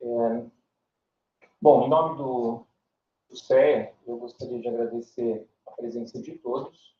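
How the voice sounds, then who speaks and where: A man giving halting opening remarks as moderator at an academic workshop, introducing speakers. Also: medium pitch, steady tone. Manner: halting, slow introductions with many fillers. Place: small amphitheater on a university campus. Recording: distant, roomy, dull livestream audio.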